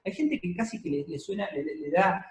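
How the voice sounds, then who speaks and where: A man preaching a sermon to a congregation, explaining at a measured pace with many pauses and fillers. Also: sits high, sing-song. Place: church hall, congregation present and streamed. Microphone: handheld or stand microphone. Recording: echoey room.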